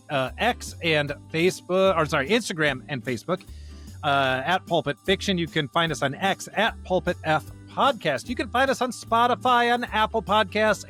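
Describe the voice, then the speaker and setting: A man's voice: on the high side, sharp tone. A man co-hosting a religious podcast in an earnest, emphatic conversational way, often checking for agreement. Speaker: podcast co-host.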